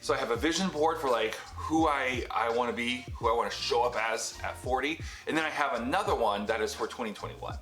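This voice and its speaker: A man's voice: medium pitch, brisk, hardly any pauses, pushed and bright. A man in his thirties talking casually to the camera in a home office, rambling and correcting himself as he goes. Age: 30s. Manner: rambling, filler-heavy and self-correcting. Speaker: business vlogger. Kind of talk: casual talking-head vlog. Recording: echoey room with light music. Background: heater humming.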